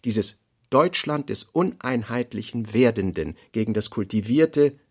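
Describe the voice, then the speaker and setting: Man reading literary notes, creaky voice. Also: medium pitch, lively intonation, measured pace, darkish tone. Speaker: reader of a writer's working notes. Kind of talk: literary radio play reading, scripted.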